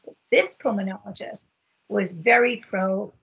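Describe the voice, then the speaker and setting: A middle-aged woman with a husky voice telling her own story on a video call, earnest and halting with many fillers. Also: mid-range voice, fairly bright tone. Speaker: patient advocate. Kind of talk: personal story in a video meeting. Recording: dull lo-fi video call audio.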